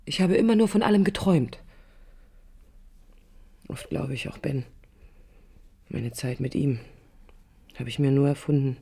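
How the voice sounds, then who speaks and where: An actress playing a restless, ambitious young woman architect, narrating her life in a radio drama with literary intensity and a husky voice. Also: fairly low pitch, dark tone, exaggerated voice. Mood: searching, defiant.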